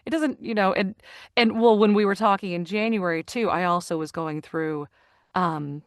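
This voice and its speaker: A woman in her fifties, an actor and narrator, talking in an animated way over a video call and repeating phrases for emphasis. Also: medium pitch, moderate pace, no room sound, studio quality. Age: 50s. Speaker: guest, actor and audiobook narrator. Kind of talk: casual interview conversation.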